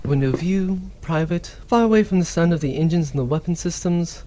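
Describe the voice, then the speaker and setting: A woman's voice: fairly low pitch, brisk, dark tone, lightly acted. A woman playing a poised, teasing and commanding female space pirate captain in an audio drama. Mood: poised, boastful. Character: smug, cool female pirate captain and elite accountant. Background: music.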